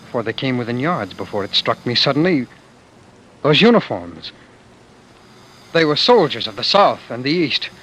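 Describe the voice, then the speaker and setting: An actor playing a tender, desperate fugitive husband in old-time radio drama style, halting and stammering over his words. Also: mid-range voice, very animated, a bit bright. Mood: dazed, grieving. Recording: noisy vintage radio drama broadcast.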